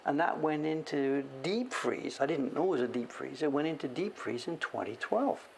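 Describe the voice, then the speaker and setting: A man's voice: on the high side, animated, moderate pace, gravelly, darkish tone, a little hiss or hum. A man in his late sixties chatting casually on a livestream about his printmaking work, rambling and correcting himself and often calling himself by name. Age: 60s. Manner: rambling, self-correcting, talks about himself in third person.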